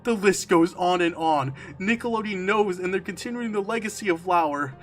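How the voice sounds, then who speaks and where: A man reading a horror story aloud on a stream, expressive and emphatic, slipping between dramatic narration and casual asides. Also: high-pitched, normal speed. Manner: expressive, emphatic, swearing, breaking into amused asides. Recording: music under the voice. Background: background music.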